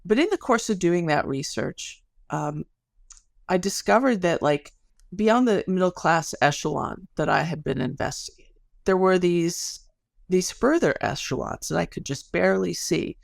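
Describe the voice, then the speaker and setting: A woman's voice: on the low side, expressive, moderate pace, creaky, no echo, studio quality. A middle-aged American woman explaining her research as an interview guest in an informal, articulate, storytelling way. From Chicago area, United States.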